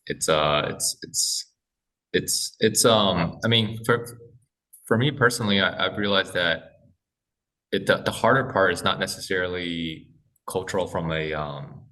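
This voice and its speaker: A man speaking as a podcast guest, talking haltingly with many restarts and fillers. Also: sits low, pushed and bright, recorded from a distance, poor audio. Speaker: guest, corporate development head. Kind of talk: casual podcast interview.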